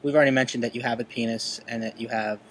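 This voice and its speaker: A man's voice: medium pitch, fairly even intonation, fast, a little hiss or hum, poor audio. A man in his late thirties hosting a podcast interview, asking questions in a joking, rambling way. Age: late 30s. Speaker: podcast host. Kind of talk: casual interview chat.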